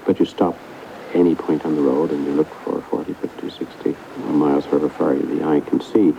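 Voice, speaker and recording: A man's voice: very deep voice, flat delivery, normal speed, creaky, a little hiss or hum. A man talking reflectively and unscripted, as in an interview, on a dull archival tape.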